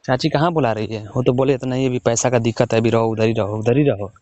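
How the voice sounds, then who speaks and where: A man's voice: mid-range voice, fairly even intonation, quick pace, darkish tone, no room sound. A man in a casual private phone conversation, giving instructions insistently and repeatedly checking that he is understood. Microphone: phone call. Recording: dull lo-fi phone call recording.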